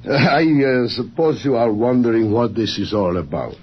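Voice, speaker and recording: An actor playing a grave, blunt male council president in a 1950s radio drama, formal and deliberate in speech. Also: medium pitch, lively intonation, breathy edge. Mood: courteous. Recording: dull, hissy old radio recording.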